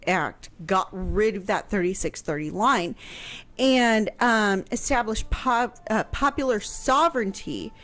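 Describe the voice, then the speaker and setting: A woman's voice: medium pitch, unhurried, a bit bright. A woman historian, a guest on a radio show, explaining history casually and hesitantly with many ums. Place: radio studio. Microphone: studio microphone. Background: faint music.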